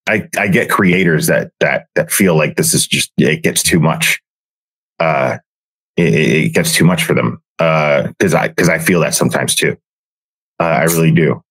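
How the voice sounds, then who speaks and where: A man with a low voice talks casually as a livestreamer. He is halting and hesitant, rambling along as he goes. The sound is dry, with little room to it. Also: slightly rough, no echo. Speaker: livestreamer, online creator.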